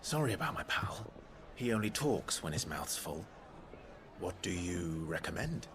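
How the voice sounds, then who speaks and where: An actor playing a calm, dry-witted young nobleman in a video game, understated and measured. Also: deep voice, background noise, heavily acted. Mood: friendly, wry. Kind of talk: naturalistic video game acting.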